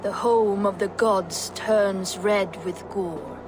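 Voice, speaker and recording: An actress playing a grave seer of doom in a Norse video game, speaking like an oracle. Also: female voice, medium pitch, background noise, lightly acted. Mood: ominous. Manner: slow, oracular. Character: grave female Norn seer foretelling doom. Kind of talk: dramatic video game acting.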